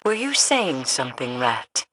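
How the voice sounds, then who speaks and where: Scornful warrior, very deep female voice, bright edge, terse game dialogue. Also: very animated, unhurried, full character voice, poor audio. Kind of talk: dramatic video game dialogue.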